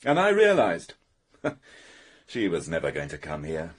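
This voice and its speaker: An actor playing a defensive, self-pitying British bachelor painter in a radio mystery, theatrical and sardonic. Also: male voice, low-pitched, huge pitch swings, takes it slowly, husky, full character voice. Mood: rueful.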